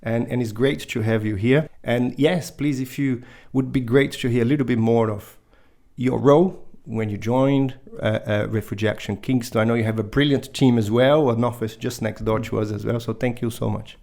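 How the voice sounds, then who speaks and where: A man's voice: mid-range voice, fairly even intonation, moderate pace, gravelly, few pauses, a little mellow. A man hosting an interview podcast, introducing the show and his guest in a conversational way. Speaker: podcast host.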